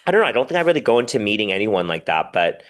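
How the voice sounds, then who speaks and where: A man talking casually and candidly as a podcast guest, animated and rambling, with self-deprecating humor. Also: fairly low pitch, racing. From diaspora Korean, raised in the West.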